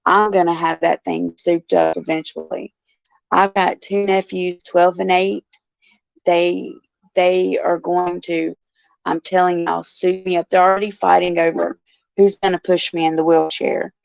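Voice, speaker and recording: A woman with a low, heavily gravelly and raspy voice chats folksily with her viewers. She calls them by name as she talks. The recording is dull, lo-fi and dry. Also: flat delivery, a little slow, no echo. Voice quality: raspy, gruff. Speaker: show host. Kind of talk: casual chat, answering viewers. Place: indoors in a care facility.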